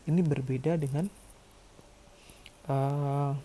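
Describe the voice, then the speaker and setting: Dark, husky lecturer's voice. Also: medium pitch, fairly even intonation, slow pace. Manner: didactic, checks with "ya" and "oke". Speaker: accounting lecturer. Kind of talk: explanatory lecture, working through calculations.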